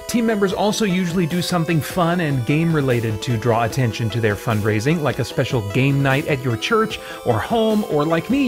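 Male host, thirties, scripted promo read, slightly high pitch, clear music bed. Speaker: host, fundraiser organizer.

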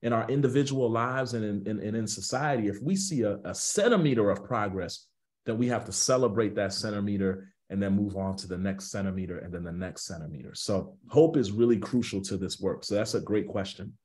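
Low-voiced American pastor, emphatic. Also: male voice, fairly quick, a little mellow. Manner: emphatic, repeats phrases for weight. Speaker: pastor, guest speaker. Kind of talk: earnest extemporaneous talk with preacher's cadence. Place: small indoor room on a video call.

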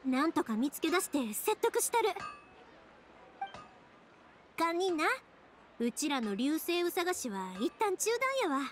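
A woman's voice: high voice, sing-song, measured pace, long pauses, slight background noise. A woman playing a caring, upbeat young game heroine with a Kansai accent, speaking in a reassuring, folksy way. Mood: determined. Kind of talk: anime game voice acting. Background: background music.

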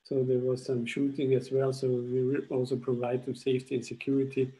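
A man's voice: mid-range voice, completely flat delivery, dark tone, poor audio. Austrian man presenting over a video call. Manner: halting, restarts phrases while explaining slides. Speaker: charity founder presenting a school project. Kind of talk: webinar presentation. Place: indoors at home. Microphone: laptop webcam video call.

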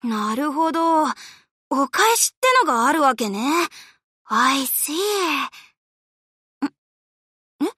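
A woman's voice: sits high, expressive, measured pace, bright, forceful tone, no room sound, clean studio sound, full character voice. A woman voicing a brash, casual, teasing young tomboy in an anime game, blunt and slangy. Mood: curious.

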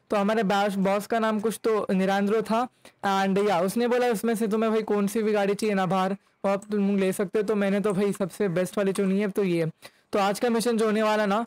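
A young man talks through his gameplay in a high voice. His delivery stays flat and even, chatty and casual, with English words dropped in. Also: clean tone, no echo. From India. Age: young adult. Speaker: gaming YouTuber. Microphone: desk microphone close to mouth.

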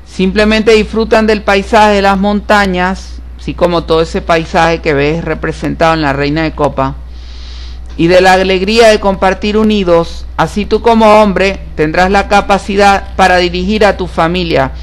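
A man's voice: high voice, fairly even intonation, normal speed, clear, resonant tone. A man giving a solemn, repetitive horoscope reading over tarot cards, stressing each point as certain. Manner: solemn, repetitive, emphatic about being certified. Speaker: tarot reader and astrologer.

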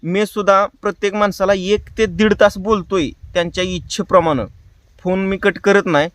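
A man's voice: high-pitched, fairly even intonation, moderate pace, fairly bright tone, dry recording. A man with a rural Maharashtra Marathi accent, hosting prank calls and chatting casually to his viewers with colloquial tag phrases. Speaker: prank call show host.

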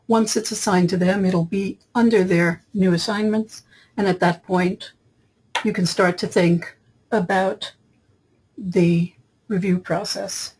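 Husky-voiced woman giving a software tutorial. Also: mid-range voice, steady tone, slow pace, rough recording. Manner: explanatory, step-by-step. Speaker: software trainer. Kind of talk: screen-capture tutorial narration, semi-improvised. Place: voice-over for screen recording.

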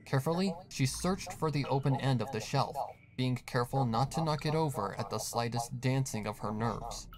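A man's voice: medium pitch, normal speed, music in the background. A man reading a scripted horror story as the narrator. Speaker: fan fiction narrator.

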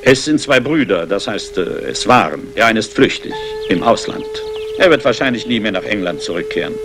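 An actor playing a courteous, guarded English aristocrat investor in a 1960s German crime radio play. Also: male voice, medium pitch, wildly swooping pitch, a little fast, over music. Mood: polite. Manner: courteous, urbane.

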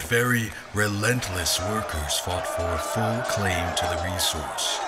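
A wry, self-doubting soldier acted by a man tells his story in a low, measured voice. It is dry audio drama narration, with music clearly running underneath.

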